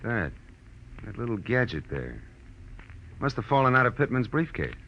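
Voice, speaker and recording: An actor playing a hard-boiled, wisecracking American private detective in a 1940s radio crime drama, with dry, slangy delivery. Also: male voice, medium pitch, sing-song, moderate pace, slight background noise. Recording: dull old radio recording with music.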